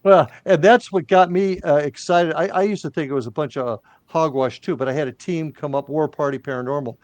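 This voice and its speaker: A man who leads a paranormal team, telling his story in an animated, punchy way. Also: fairly high pitch, fairly quick, no room sound. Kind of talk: casual chat.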